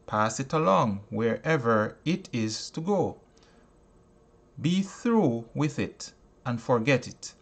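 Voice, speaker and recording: A man reading a self-help audiobook in an emphatic, oratorical manner, breaking sentences into short phrases with deliberate pauses. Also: medium pitch. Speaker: audiobook reader and teacher.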